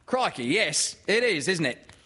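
A man voicing a fussy, distracted mother kangaroo in a storybook, brisk and motherly. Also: high voice, sing-song, sharp tone, slightly rough, long reverb tail, pitched above the performer's own voice, heavily acted.

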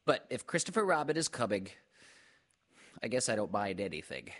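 A man's voice: on the low side, very animated, moderate pace, a bit bright. A man voicing a small, timid toy pig with an anxious, earnest manner in a children's storybook. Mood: earnest, nervous.

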